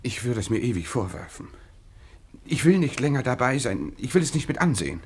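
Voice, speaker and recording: An actor playing an agitated, earnest tutor in a German radio play, speaking in an urgent, protesting way. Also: male voice, mid-range voice, big pitch swings, brisk, husky.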